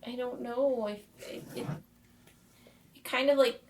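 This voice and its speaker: A woman playing a nervous, shaken young girl in a tabletop game, speaking in a halting, uncertain way. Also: on the high side, level tone, slight background noise, natural voice. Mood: unsure, scared. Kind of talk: tabletop roleplay.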